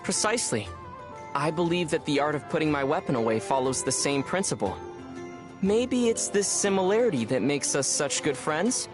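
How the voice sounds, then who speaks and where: A man voicing a calm, dry-witted, gently teasing young traveler in a video game. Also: a little high, normal speed, music in the background, close to an everyday voice. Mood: wry. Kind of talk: video game character acting.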